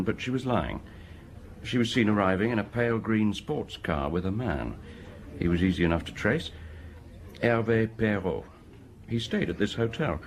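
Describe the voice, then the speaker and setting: A dry, lively-pitched local police detective. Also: male voice, slight background noise. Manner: matter-of-fact reporting, dryly understated. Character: Inspector Castin, local policeman, diligent, dry and matter-of-fact. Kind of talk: radio drama acting.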